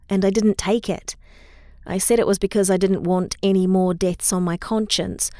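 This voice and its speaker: A woman's voice: medium pitch, darkish tone, no room sound, studio quality, lightly acted. A woman playing a grieving, guilt-ridden young superhero in an audio drama, confiding in a conversational way. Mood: guilty, anguished.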